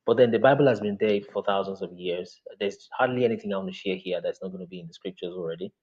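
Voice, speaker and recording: A man's voice: low-pitched, quick pace, darkish tone, muffled recording. A man whose first language is Yoruba, speaking earnestly as an interview guest over a video call, with frequent fillers.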